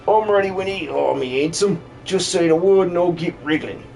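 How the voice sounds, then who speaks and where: A man voicing an excitable, gushing worm creature with folksy rustic phrasing in a video game dub. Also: sits high, moderate pace, gravelly, soft background music. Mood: grateful, eager.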